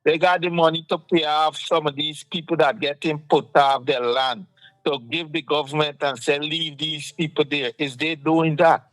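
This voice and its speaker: A fired-up man with a Guyanese accent, talking politics in a loose, casual way and piling up rhetorical questions. His voice sits a bit high for a man. It is recorded close and dry, with no room sound. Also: fairly even intonation, moderate pace, fairly bright tone, no room sound, rough recording. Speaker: talk show host.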